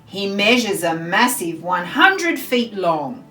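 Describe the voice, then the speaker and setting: A woman from Australia reading a children's picture book aloud in a deliberate, theatrical way for small children. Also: mid-range voice, sing-song, pushed and bright. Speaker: storyteller, school librarian. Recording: roomy echo, faint music behind.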